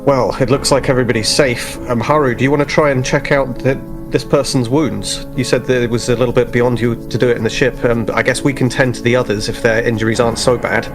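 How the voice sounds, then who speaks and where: A man playing an earnest, helpful young ensign in a tabletop game, polite and steady. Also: mid-range voice, fast talker, few pauses, music in the background, close to an everyday voice.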